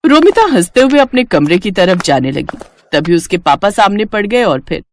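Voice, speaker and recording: A woman's voice: mid-range voice, sing-song, moderate pace, slight background noise. A woman with an Indian accent narrating a scripted moral story as a storyteller.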